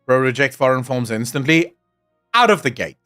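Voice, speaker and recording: A man's voice: mid-range voice, very animated, normal speed, sharp tone, soft background music. A young man from South Africa with an Afrikaans accent, a native Afrikaans speaker, streaming live and chatting casually with his viewers. He rants and jokes and swears freely. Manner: profane, ranting and joking.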